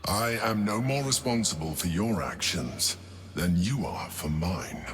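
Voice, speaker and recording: Fantasy game role: low-voiced man, reserved and solemn, formal phrasing, very unhurried. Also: sing-song, faint music behind. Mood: cool, measured. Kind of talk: dramatic game acting.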